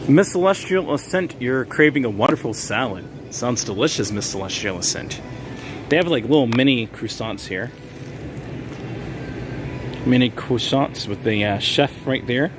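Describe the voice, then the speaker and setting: A man's voice: medium pitch, lively intonation, moderate pace, background noise. A man hosting a casual livestream while shopping, chatting with viewers and reading their names aloud with easy fillers and small laughs. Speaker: livestream host. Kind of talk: casual live-stream chat while shopping. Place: grocery store, indoors.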